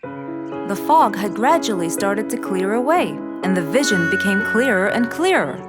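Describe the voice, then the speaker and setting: A woman's voice: mid-range voice, expressive, normal speed. A woman narrating a scripted story for children. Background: loud music.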